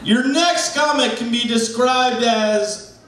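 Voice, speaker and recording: A man hosting an open mic on a small bar stage, hyping the crowd and introducing the next comic into a handheld mic. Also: extremely high pitch. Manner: hypes the crowd, drawn-out shouted intros. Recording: very echoey bar room.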